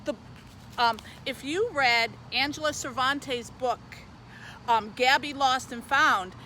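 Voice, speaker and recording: Female children's librarian, bright and animated read-aloud delivery, faint outdoor traffic noise. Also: high voice, rough recording. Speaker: youth services librarian presenting a book.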